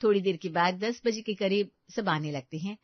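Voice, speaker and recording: A woman's voice: medium pitch, moderate pace, no echo, lo-fi sound. A woman reading scripted narration as the storyteller of a domestic tale.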